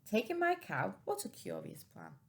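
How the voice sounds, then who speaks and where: A woman's voice: medium pitch, huge pitch swings, normal speed, gravelly. A woman voicing a little old lady from a storybook, grumbling, plaintive and melodramatic, easily exasperated. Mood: puzzled.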